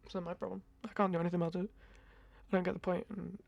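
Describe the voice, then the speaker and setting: A young man in his twenties with a high-pitched voice, rambling casually to camera in a chatty, self-deprecating ASMR talk on a close mic. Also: level tone, fast, gravelly, no room sound, muffled recording. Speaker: ASMR creator. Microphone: close condenser mic.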